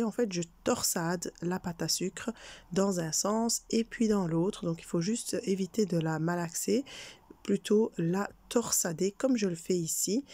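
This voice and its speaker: A woman's voice: fairly low pitch, mellow, no echo. A woman with a cold, her voice congested, hosting a cake decorating tutorial in a friendly, hesitant way with many fillers. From Belgium. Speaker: cake decorating channel host. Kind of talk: casual cooking tutorial voice-over.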